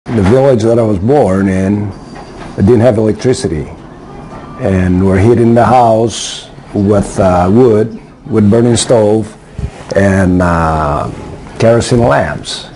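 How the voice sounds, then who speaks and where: A man in his sixties from Greece, answering interview questions in a casual, hesitant way with many pauses and fillers. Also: on the low side, dark tone, slight background noise, poor audio. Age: 60s.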